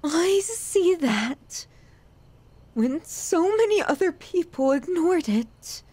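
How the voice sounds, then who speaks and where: A woman playing an obsessive, lovesick, possessive yandere in an ASMR audio roleplay, with an emotional, halting, dramatic delivery. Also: very high voice, animated, mellow, no room sound, heavily acted. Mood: adoring, intense.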